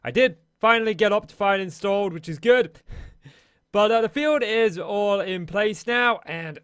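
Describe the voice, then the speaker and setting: A man with a high-pitched voice talks through his game in easy running commentary, calling out each step as he goes. His voice is slightly hoarse, as if he is losing it. The sound is dry, with no room echo. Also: moderate pace, fairly bright tone. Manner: chatty, narrates each action as he plays. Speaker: Minecraft let's play host. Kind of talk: casual gameplay commentary.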